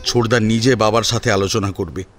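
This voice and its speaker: A man narrating a scripted family drama serial with expressive, dramatic storytelling. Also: on the low side, a little fast. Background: soft sitar and plucked string music.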